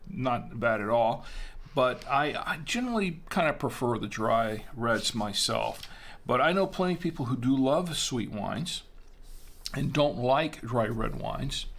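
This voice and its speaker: A man's voice: medium pitch, a bit bright. Chatty middle-aged man hosting a livestream. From Florida. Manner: chatty, folksy, often trails off and restarts. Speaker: wine review livestream host. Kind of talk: casual livestream chat reading viewer comments.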